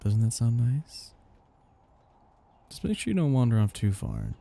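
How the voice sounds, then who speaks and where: A man voicing a possessive, teasing vampire master in an intimate roleplay ASMR, with a slow, condescendingly affectionate manner and breathy delivery. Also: huge pitch swings, full character voice. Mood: smug, anticipating. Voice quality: breathy, low, dark.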